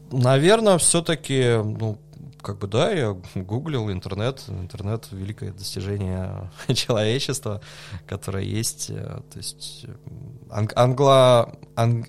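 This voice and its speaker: A man talking through his answers in a relaxed podcast interview, pausing with fillers and laughing softly now and then. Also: mid-range voice, creaky. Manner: thoughtful, hesitant with many fillers, laughs softly. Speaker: podcast guest, coffee judge.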